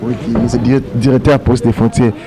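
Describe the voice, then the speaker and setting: A man, a reporter briskly introducing the story and questioning an official. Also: mid-range voice, flat delivery, crowd noise. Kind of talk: news report and interview.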